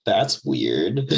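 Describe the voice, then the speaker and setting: A young man talking as a podcast guest in a casual, rambling way, full of fillers and quick laughs, with a deep voice. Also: creaky, slightly rough. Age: young adult. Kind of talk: casual conversation.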